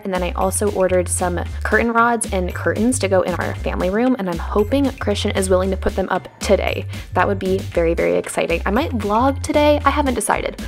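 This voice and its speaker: A young woman in her twenties, chatting casually and asking her viewers questions while narrating a clean-with-me video at home. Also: mid-range voice. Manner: chatty, upbeat, asks viewers many questions. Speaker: lifestyle vlogger. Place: home, kitchen and bathroom. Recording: narration over loud background music.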